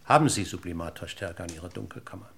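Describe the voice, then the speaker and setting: Casting: radio-drama inspector, low creaky voice, dry, courteous, needling. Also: male voice, a bit bright, full character voice. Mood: politely insistent. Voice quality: gravelly, husky. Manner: formally polite, deliberate, needling questions. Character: probing, courteous male police inspector, dryly persistent. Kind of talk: German radio crime drama acting.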